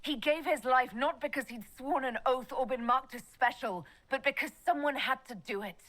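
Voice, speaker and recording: An actress playing a sharp, commanding elf mage in a video game, speaking in a firm, matter-of-fact way. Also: female voice, high-pitched, moderate pace. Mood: resolute.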